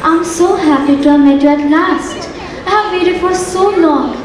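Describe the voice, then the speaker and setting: A woman's voice: very high-pitched, measured pace, a crowd in the background. A young woman acting an eager, adoring young woman on stage, wide-eyed and exclaiming. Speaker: student actor in a stage play. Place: large auditorium stage. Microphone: distant stage mic. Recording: echoey auditorium from far off.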